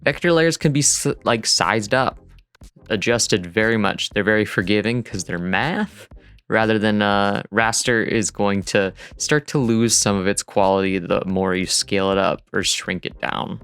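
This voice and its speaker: A man's voice: fairly low pitch, a little slow, a bit bright, clean tone. A man giving a casual, rambling tutorial voice-over in an informal, self-deprecating way. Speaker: digital artist narrating a dev vlog. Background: music.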